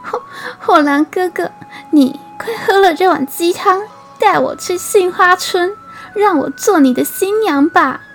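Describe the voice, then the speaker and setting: Narrator voicing an earnest, pleading young bride, bright and high, faint music behind. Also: female voice, very animated, measured pace. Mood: tender. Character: brave, devoted young woman.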